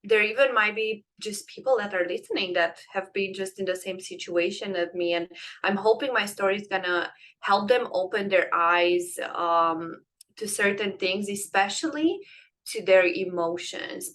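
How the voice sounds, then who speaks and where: A woman's voice: on the low side, unhurried, a bit bright. A young adult woman with a Romanian accent, a podcast guest telling her personal story earnestly, with frequent ums and restarts. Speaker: guest, transitions coach.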